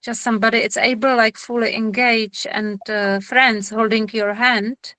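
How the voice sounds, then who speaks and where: A woman with a Czech accent, a trauma therapist speaking on a video call, pausing often and searching for words. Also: fairly high pitch, flat delivery, bright, forceful tone, no room sound. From Czech Republic. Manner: halting, searching for words, many fillers. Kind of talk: online lecture Q&A.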